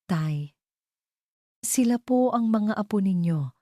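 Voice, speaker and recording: A woman's voice: medium pitch, expressive, slow, mellow, no echo, voice pushed up. A woman voicing a devoted, emotional young mother and daughter in a romance audio story. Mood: tearful joy.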